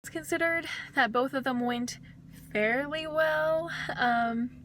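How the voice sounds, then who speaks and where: A young woman talking casually to the camera, slightly hoarse after singing, rambling with fillers and small laughs. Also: sits high, takes it slowly, a bit bright, slight background noise. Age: young adult. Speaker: vlogger, voice student. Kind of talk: casual vlog talk to camera.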